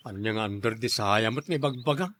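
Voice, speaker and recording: A man's voice: on the low side, fast talker, a bit bright, a little hiss or hum, lightly acted. A man playing a henpecked, hard-drinking husband in an audio drama, grumbling and protesting in a defensive manner. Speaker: voice actor in a drama. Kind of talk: Ilocano audio drama acting.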